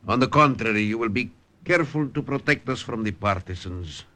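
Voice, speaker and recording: An actor playing an old, shrewd Italian scientist in an old radio drama, formal and measured, with an Italian accent. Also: male voice, mid-range voice, expressive, sharp tone. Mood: confident, bargaining. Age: older. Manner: measured, formal, politely insistent. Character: old, tired Italian scientist, shrewd, calm, calculating bargainer. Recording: vintage radio drama recording.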